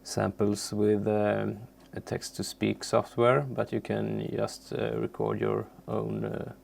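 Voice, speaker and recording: A man who is a non-native English speaker presents an unscripted software tutorial in a halting way, searching for words. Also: lowish voice, monotone, creaky. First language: not English.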